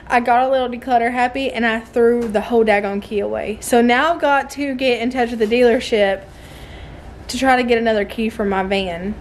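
Southern woman, young, casual vlog chatter, higher voice, phone at arm's length, slight background hiss. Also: brisk. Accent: Southern American. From southern United States. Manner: chatty, animated, laughs often. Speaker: vlogger, homeschooling mom. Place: car, then living room of a mobile home. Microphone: phone held at arm's length.

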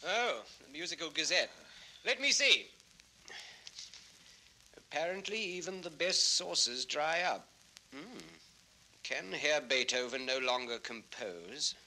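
Offhand, sharp-toned young nephew. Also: male voice, a little high, animated, takes it slowly, pauses often, full character voice. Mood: impatient, offhand. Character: young nephew, casually impatient with his uncle. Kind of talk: 1960s children's record drama acting. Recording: faintly noisy 1960s record.

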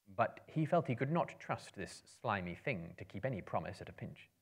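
Casting: male storyteller, thirties, lowish voice with animated swings, theatrical live book reading. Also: normal speed. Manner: theatrical, expressive. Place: indoor room with bookshelves, small live audience.

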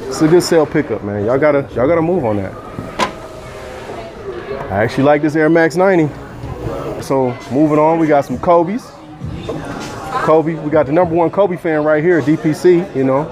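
An American man hosting a sneaker vlog in a store, bantering casually in an excitable, joking, slangy way. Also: on the high side, normal speed, pauses often, a crowd in the background. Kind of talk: casual banter while browsing. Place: inside a mall sneaker store. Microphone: handheld phone or camera mic. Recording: loud music behind, store noise. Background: loud music.

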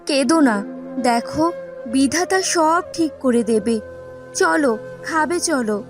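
Woman acting a high-voiced, gentle village wife. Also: expressive, slow, faint music behind. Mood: consoling. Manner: soothing, concerned. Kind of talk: animated fairy tale acting.

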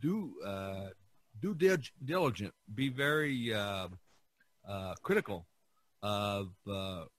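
A man hosting a casual podcast discussion, speaking haltingly and drawing out some words. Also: fairly low pitch, lively intonation, fairly bright tone, dry recording. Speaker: podcast host.

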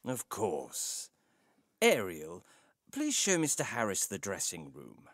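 An actor playing a courteous, mysterious old tailor in an audio story, speaking in a formal, deliberate way. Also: male voice, fairly low pitch, huge pitch swings, fairly bright tone, dry recording, clean studio sound, exaggerated voice. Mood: calm, courteous. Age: older. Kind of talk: dramatised audio short story acting.

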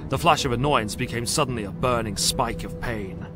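A man's voice: mid-range voice, normal speed, over music. A man narrating a scripted audio drama in a dramatic storytelling style.

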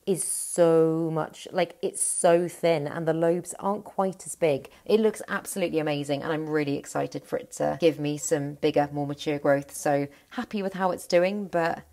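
A chatty woman with a slightly low, faintly croaky voice walks through her home plant cabinet, gushing over each plant. She rambles and restarts mid-sentence, warm with enthusiasm, recorded close on a handheld phone indoors. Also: moderate pace, few pauses. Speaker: plant vlogger. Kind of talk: casual plant collection tour.

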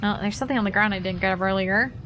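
A woman's voice: medium pitch, fast talker, background noise. A young woman streaming a game, chatting playfully with her chat as she plays. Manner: playful, chatty, talks to chat while playing. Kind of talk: casual gameplay commentary to chat.

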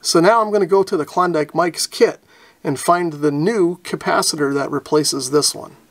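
A man's voice: a little high, normal speed, a bit bright. A man giving plainspoken, methodical how-to narration as the host of a repair tutorial.

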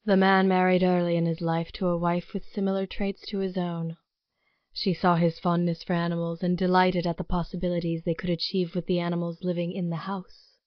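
A woman playing an ominous storyteller, narrating a Gothic horror tale in a measured, theatrical, sinister way. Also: on the low side, flat delivery, no echo, lightly acted. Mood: storytelling. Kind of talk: scripted dramatic narration. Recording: dull recording with music underneath.

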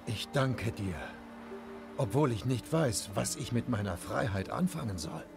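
A proud nobleman who is worn down by grief. His gravelly voice sits low and moves slowly, somber and heartfelt, over a loud music bed in this game dub. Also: lively intonation. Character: proud red-haired nobleman, weary, grieving.

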